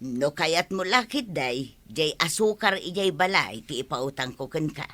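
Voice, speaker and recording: A woman acting a kind-hearted older village neighbour, gentle and forgiving, with an Ilocano accent in a comedy radio drama. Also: sits low, lively intonation, unhurried. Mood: generous. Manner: gentle, forgiving, matter-of-fact.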